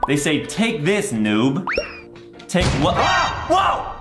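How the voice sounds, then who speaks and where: A man in his twenties hosting excitable gameplay commentary for kids, with exaggerated, theatrical reactions. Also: sits high, echoey room, loud music behind the voice. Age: 20s. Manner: exaggerated, theatrical reactions with shouts and drawn-out words. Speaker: gaming video host. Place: indoor room with bare walls.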